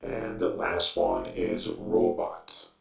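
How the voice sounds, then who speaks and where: A man's voice: very deep voice, very animated, slow pace, creaky, roomy sound, long reverb tail, recorded from a distance, music in the background, pitched below the performer's own voice, full character voice. A man speaking through a robot voice changer effect, sounding like an electronic robot in an app demo. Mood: flat demonstration. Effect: robot voice changer filter.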